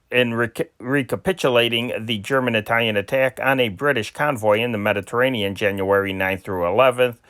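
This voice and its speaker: A man's voice: medium pitch, a bit bright, dry recording, studio quality. A man reading old newspaper war dispatches aloud in an amateur style, often stumbling and restarting words. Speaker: news reader.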